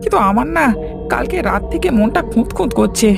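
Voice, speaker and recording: Creaky, animated, suspicious villager by an actor. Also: mid-range voice, a little fast. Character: suspicious villager snooping on a haunted eatery. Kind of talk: horror cartoon voice acting. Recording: music behind the voice.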